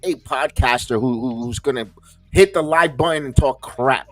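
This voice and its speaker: A man's voice: medium pitch, moderate pace, no echo. A man hosting a wrestling podcast, ranting heatedly with many stumbling restarts and curses. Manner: fiery, stumbling repeats, swears. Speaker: podcast host.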